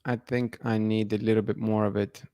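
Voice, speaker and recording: A man's voice: on the low side, flat delivery, a little fast, mellow, no echo, studio quality. A man from Russia, a channel host chatting casually and answering viewer comments with frequent restarts and laughs. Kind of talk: casual live-stream chat reading viewer comments.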